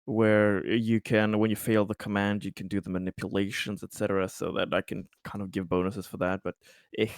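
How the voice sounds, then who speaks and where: A man's voice: lowish voice, level tone, brisk, no room sound, clean studio sound. A man talking casually as a player in a tabletop roleplaying game.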